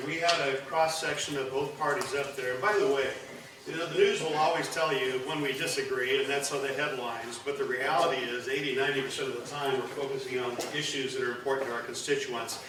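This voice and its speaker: A man's voice: medium pitch, a bit bright, a little hiss or hum. A man in his sixties giving an informal, rambling speech at a press event, with dry asides and no microphone. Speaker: former state senator, event speaker. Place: large room with a backdrop, indoors. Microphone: no microphone, recorded by a distant camera. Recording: echoey room from a distance.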